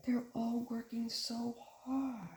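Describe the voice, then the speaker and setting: A woman reading a picture book aloud like a teacher, with simple, instructive phrasing and expressive stress on key words. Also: fairly high pitch. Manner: simple, instructive phrasing aimed at young children. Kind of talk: animated children's picture book read-aloud. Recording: echoey room.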